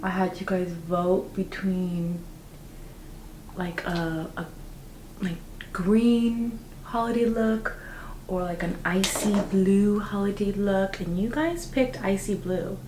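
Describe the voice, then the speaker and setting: A woman vlogger chatting through a makeup tutorial, upbeat and full of fillers, her voice a little raspy from just waking up. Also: mid-range voice, slow pace, reverberant, noisy background. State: slightly raspy, just woke up. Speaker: beauty vlogger. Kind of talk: casual makeup tutorial talk.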